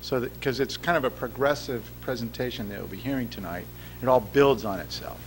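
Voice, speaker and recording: A man giving a public science lecture, laying things out clearly and asking the audience questions. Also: mid-range voice, fairly quick, a little hiss or hum, poor audio. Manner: clear, explanatory, addresses the audience directly. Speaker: guest lecturer. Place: lecture hall.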